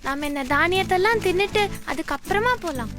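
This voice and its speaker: Someone doing an eager little cartoon bird kid, high voice, sure of itself, loud music behind. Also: lively intonation, fairly quick. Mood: excited, confident. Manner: childlike, eager, confident. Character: eager young bird pupil, leader among its friends. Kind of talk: animated children's story dialogue.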